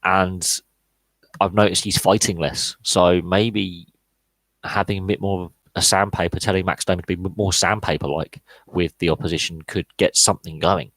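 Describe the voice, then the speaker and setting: A man's voice: sits low, fairly even intonation, normal speed, a bit bright, no echo, rough recording. A man in his thirties hosting a live podcast Q&A, talking casually with many fillers and restarts. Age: 30s. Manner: rambling, frequent fillers and restarts. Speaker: podcast host.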